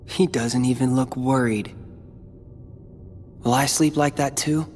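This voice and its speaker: A man's voice: medium pitch, fairly even intonation, slow, darkish tone, slight background noise, natural voice. A man playing a brooding, proud teenage boy in an earnest, dramatic video game cutscene. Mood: wry, brooding. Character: brooding, proud teenage boy hero and his tormented replica.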